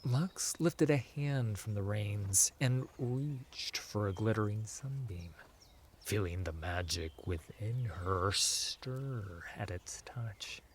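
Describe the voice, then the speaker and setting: A man reads a fantasy audiobook as its narrator. His voice is fairly low and his pace is slow, giving the scripted prose a measured, dramatic delivery. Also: lively intonation, a little hiss or hum.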